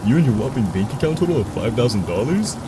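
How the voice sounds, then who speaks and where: A man's voice: mid-range voice, sing-song, fast talker, natural voice. A man playing a sarcastic young friend in casual, mocking banter for a game cutscene. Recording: noisy, rain and thunder behind.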